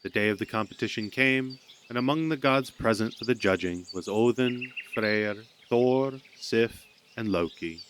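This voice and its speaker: A man's voice: mid-range voice, a little slow, few pauses, fairly bright tone, a little hiss or hum. A man narrating a myth as a scripted storyteller in an audio drama.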